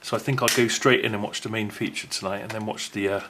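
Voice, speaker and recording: A man's voice: lowish voice, steady tone, quick pace, noisy background. A man presenting an unboxing video in a casual, unscripted way, chatty and rambling as he thinks aloud.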